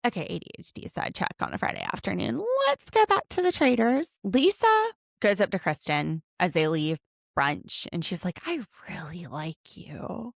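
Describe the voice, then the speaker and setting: A Southern woman with a low, gravelly voice talks through a reality show recap. She is chatty and playful, full of asides, and the sound is dry and close. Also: big pitch swings, normal speed, no room sound. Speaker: podcast co-host. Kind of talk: casual recap chat.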